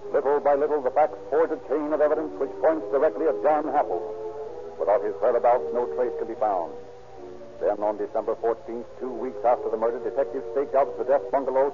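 A man narrating a 1930s radio crime drama in a formal, declamatory manner with deliberate phrasing. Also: medium pitch, lightly acted. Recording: narrow old radio recording, loud music behind.

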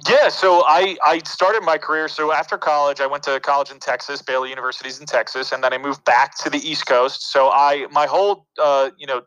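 Bright-voiced man, dry lo-fi sound. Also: a little high, fairly even intonation, fairly quick, hardly any pauses, clear, resonant tone, dry recording. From New York City and New Jersey. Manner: rambling, reflective, frequent fillers and restarts. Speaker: interview guest, media producer. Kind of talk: casual conversational interview.

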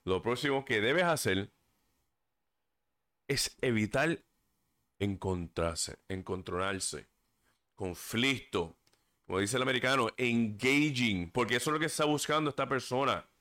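Guy on his podcast mic, preachy, trips on words, mid pitch. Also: expressive, measured pace, pauses often, sharp tone. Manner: earnest and preachy, stumbles on words, drifts into English fillers. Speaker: podcast host. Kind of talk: casual solo podcast talk. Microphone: desk microphone.

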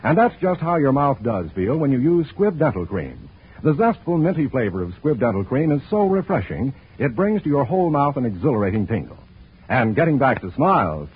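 A man working as a radio announcer, reading a scripted 1940s sponsor pitch in a husky voice. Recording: thin, narrow old radio recording.

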